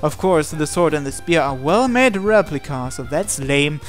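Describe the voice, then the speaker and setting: A man's voice: a little high, animated, moderate pace. Man reading a story aloud over music. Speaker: gaming video host reading subtitles aloud. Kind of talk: reading visual novel text aloud. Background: game music.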